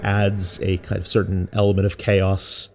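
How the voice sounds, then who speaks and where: An American man explaining creative work in a measured, reflective narration, as a sound artist presenting their own work. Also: low voice, monotone, a little mellow. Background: music.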